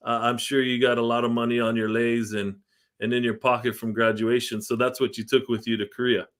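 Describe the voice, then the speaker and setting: A man's voice: medium pitch, flat delivery, a little fast. A man hosting a podcast, chatting casually with a guest and laughing along as he reminisces. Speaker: podcast host. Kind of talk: casual interview chat.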